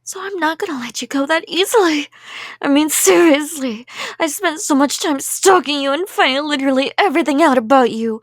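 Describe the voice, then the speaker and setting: A woman's voice: high-pitched, fairly quick, no echo, clean studio sound, close to an everyday voice. A woman playing an obsessed young yandere kidnapper in an intimate ASMR roleplay, rambling coyly and sweetly with menace. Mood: possessive, unhinged. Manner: rambling, giggly, coy, threatening in a sweet tone.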